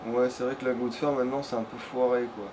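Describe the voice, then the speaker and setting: A man plays a video game and comments as he goes in a casual, slangy way. He swears freely and talks as if to a friend beside him. The recording has clear background noise. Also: medium pitch, flat delivery, fast talker. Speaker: let's player. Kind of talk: casual gameplay commentary, chatting with a partner. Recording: clearly noisy home recording.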